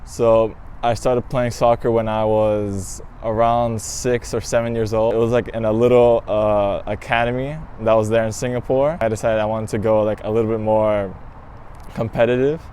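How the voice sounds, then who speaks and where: Teen student interviewee, low and flat, hesitant, faint street noise behind. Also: male voice, normal speed. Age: teens. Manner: relaxed, hesitant with fillers. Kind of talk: casual interview answers.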